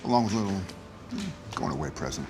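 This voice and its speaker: A middle-aged man playing a steady, warm-hearted agent and mechanic at a script read. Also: deep voice, fast. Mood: fond, steady. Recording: loud music under the voice.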